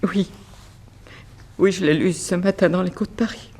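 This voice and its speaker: A woman's voice: fairly low pitch, steady tone, normal speed, a little mellow. An actress playing a bereaved middle-aged mother who runs a fashion house, formal and sorrowful in 1960s French radio mystery style. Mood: nostalgic, sad.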